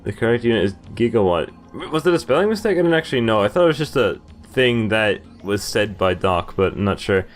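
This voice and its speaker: Low-pitched young man doing game commentary. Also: big pitch swings, fairly quick, few pauses, faint music behind. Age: young adult. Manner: easily amused, chuckles often. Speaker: gamer recording a playthrough. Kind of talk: casual game commentary, reading on-screen text aloud. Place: indoors at a desk, with game music behind.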